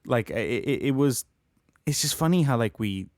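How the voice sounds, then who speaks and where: A man's voice: medium pitch, animated, normal speed, darkish tone, no room sound, studio quality. A man co-hosting a podcast, chatting casually in a rambling way. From born in Colombia, grew up in Canada. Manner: rambling, peppered with "like". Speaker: podcast co-host. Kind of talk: casual chat.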